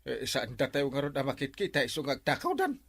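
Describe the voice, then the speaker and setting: A man with an Ilocano accent acting an excitable, blustering village official in a comedy drama. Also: medium pitch, fast talker, vocal fry, breathy edge, dry recording, exaggerated voice. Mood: flustered, indignant. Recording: music behind the dialogue.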